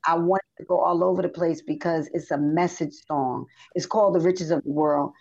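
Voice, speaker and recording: A middle-aged woman with a somewhat low, slightly hoarse voice tells her story in an animated, rambling way. The recording sounds dull and lo-fi. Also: a little fast. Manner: chatty, animated storytelling with restarts and fillers. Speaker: singer guest. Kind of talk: casual interview chat. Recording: dull lo-fi audio.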